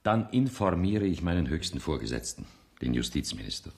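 An actor playing a grave, conscientious senior judge in a radio play, speaking formally in a measured, earnest way. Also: male voice, a bass voice, sing-song, darkish tone, heavily acted. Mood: earnest resolve. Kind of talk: radio play acting in standard German.

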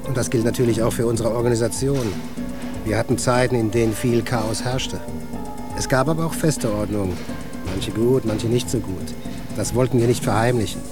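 An actor playing an idealistic underground musician, reflective and casual, talking as if interviewed in a radio drama. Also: male voice, mid-range voice, normal speed, natural voice. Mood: reflective, earnest. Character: thoughtful, idealistic male underground musician explaining his collective. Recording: loud music, birds and traffic behind voice.

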